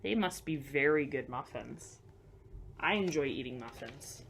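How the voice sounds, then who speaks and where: A woman reading a picture book to children as a storyteller, expressive, with chatty, simple asides to young listeners. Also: very deep voice, measured pace, gravelly, noisy background.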